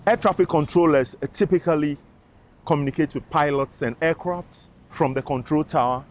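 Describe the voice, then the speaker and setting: A man presenting a television show, speaking to viewers in a scripted hosting style. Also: fairly high pitch. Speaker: TV show host. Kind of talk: presenting to camera, scripted link.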